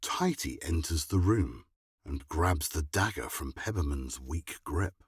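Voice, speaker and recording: Deep, husky male drama narrator. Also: expressive, a little slow. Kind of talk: scripted radio drama narration.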